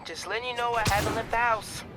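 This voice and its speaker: An actor playing an eager, curious teenage novice hero in a video game, with an earnest, casual delivery. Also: male voice, very high voice, steady tone, fast talker. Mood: curious, unsure. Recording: loud game music over voice.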